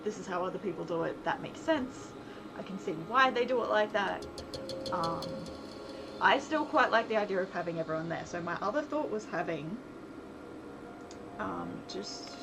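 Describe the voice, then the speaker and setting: A woman streamer and game developer chatting casually on a livestream, thinking aloud in a rambling way with soft laughs. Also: medium pitch, expressive, moderate pace, vocal fry. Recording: loud background noise, vehicle sounds.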